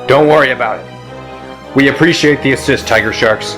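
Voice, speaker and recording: Guy playing a steady space fighter commander, curt n matter-of-fact, audio drama, music behind. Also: mid-range voice, moderate pace, natural voice. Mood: calm, commanding. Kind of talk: sci-fi audio drama acting.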